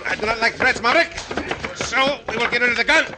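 An actor playing a suave, gallant, mocking Mexican bandit hero in an old radio western, courtly and teasing with a Mexican accent. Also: male voice, extremely high pitch, fast talker. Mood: polite menace. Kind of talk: 1950s radio western acting. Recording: noisy old radio recording with clicks.

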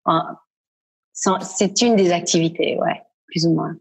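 Husky, slow-speaking woman from Quebec. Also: lowish voice, darkish tone. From Quebec, Canada. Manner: hesitant, thoughtful, searching for words. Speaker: guest, street artist. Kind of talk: casual interview answers. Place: at home in her dining room.

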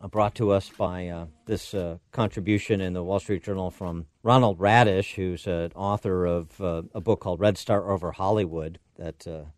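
A man's voice: low voice, steady tone, normal speed, hardly any pauses, darkish tone, dry recording. A man hosting a radio talk show, reading and commenting with frequent fillers and wry asides.

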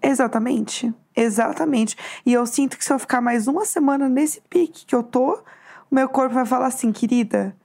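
Hoarse, gravelly woman co-host chatting. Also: high voice, moderate pace, a little mellow. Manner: chatty, storytelling, acts out quotes. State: hoarse, losing her voice. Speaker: podcast co-host. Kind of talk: casual chat.

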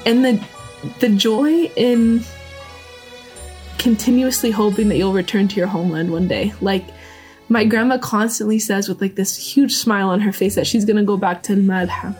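A woman from Seattle talking in a casual, animated interview, with many fillers. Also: mid-range voice, normal speed, faint music behind. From Seattle, United States.

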